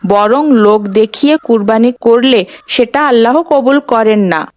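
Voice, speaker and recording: A woman's voice: fairly high pitch, measured pace, no echo, lightly acted. A woman voicing an earnest, tender-hearted young boy in a children's Islamic cartoon. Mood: gently admonishing.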